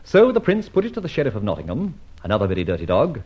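An actor playing a conceited, teasing gentleman adventurer in a mid-century radio drama, suave and mock-innocent. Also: fairly low pitch, wildly swooping pitch, fast talker, slightly rough, full character voice. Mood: breezy. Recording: dull old radio recording.

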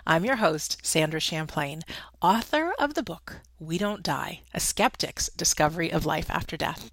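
A woman's voice: deep voice, animated, moderate pace, fairly bright tone, no room sound. A woman hosting an interview podcast, upbeat and emphatic as she introduces and questions her guest. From Connecticut. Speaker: podcast host.

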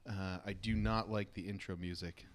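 A man's voice: low-pitched, fairly even intonation, normal speed. A man co-hosting a podcast with casual, wry, joking banter. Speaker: podcast co-host.

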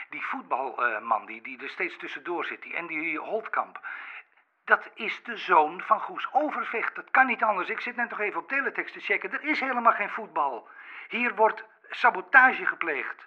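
A man's voice: high-pitched, expressive, moderate pace, sharp tone, full character voice. A man playing an indignant, insistent radio caller who repeats himself, heard over a telephone line. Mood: urgent, suspicious. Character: indignant male radio caller alleging sabotage. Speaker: caller phoning into a radio show. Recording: thin band-limited telephone line.

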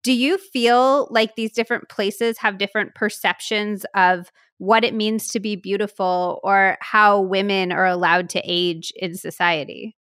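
A woman's voice: medium pitch, steady tone, measured pace, hardly any pauses, fairly bright tone, clean tone, dry recording, clean studio sound. A woman hosting a podcast, bubbly and effusive as she asks questions and reads an ad. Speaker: podcast host. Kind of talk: enthusiastic interviewing and ad read.

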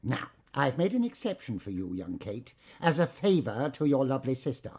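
An actor playing a pompous, bullying theme park manager with an English accent, in audio drama style. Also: male voice, mid-range voice, sing-song, normal speed, a little mellow, breathy edge. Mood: condescending. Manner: pompous, theatrical.

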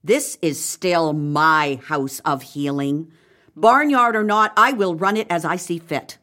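An actress playing an exasperated, defiant royal physician in an audio comedy drama, with a blunt, dry delivery. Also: female voice, sits low, takes it slowly, sharp tone, exaggerated voice. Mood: firm.

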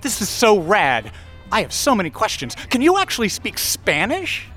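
An actor playing an uptight, awkward, eager imaginary boyfriend. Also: male voice, wildly swooping pitch, normal speed, bright, forceful tone, faint music behind, full character voice. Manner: awkward, eager, swoops in pitch. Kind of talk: audio drama acting.

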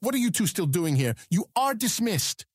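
High-voiced, courtly middle-aged lord. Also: male voice, big pitch swings, normal speed, breathy edge, no room sound, clean studio sound, full character voice. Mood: commanding. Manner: formal, gracious, commanding. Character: courteous, authoritative middle-aged male lord's official. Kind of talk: audiobook drama acting.

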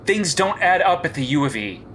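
A man's voice: high-pitched, big pitch swings, fairly quick, a bit bright, a little hiss or hum. An actor playing a persistent male investigator with a hero license, questioning and pressing in an audio drama.

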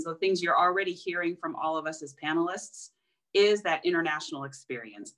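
A woman's voice: deep voice, fairly bright tone, poor audio. A woman speaking as a panelist and giving frank, conversational career advice full of fillers over a video call.

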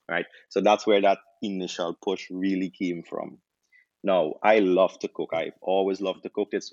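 A young man with a Trinidadian accent, a doctor turned chef, telling his story in a relaxed interview with many restarts. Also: low-pitched, no echo, lo-fi sound. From Trinidad and Tobago. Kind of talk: casual interview answers.

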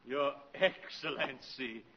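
An actor playing a stiff, nervous, pompous German embassy attaché in a radio drama, formal and clipped. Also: male voice, medium pitch, takes it slowly, loud music behind the voice, close to an everyday voice. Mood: anxious, obedient. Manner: clipped, formal, anxious, subservient to superiors. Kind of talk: 1950s radio drama acting. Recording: muffled old radio broadcast recording.

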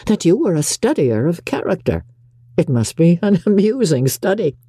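An audiobook narrator voicing an eager, impulsive, courteous young gentleman from a period novel in an emphatic, earnest way. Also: medium pitch, very animated, normal speed, husky, no room sound, clean studio sound, pitched above the performer's own voice. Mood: curious.

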